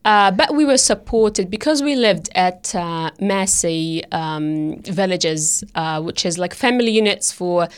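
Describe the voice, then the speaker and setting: A woman's voice: fairly low pitch, a little slow, hardly any pauses, clean tone. A woman in her thirties whose first language is Arabic, talking hesitantly with many fillers and restarts in a casual interview about her work as a teacher aide. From Middle East.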